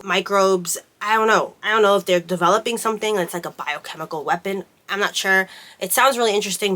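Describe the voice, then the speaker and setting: A woman's voice: mid-range voice, a bit bright. A woman getting over a cold, sounding congested, chatting casually about her books with lots of fillers. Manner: chatty, rambling with frequent fillers. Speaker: book vlogger. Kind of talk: casual chat.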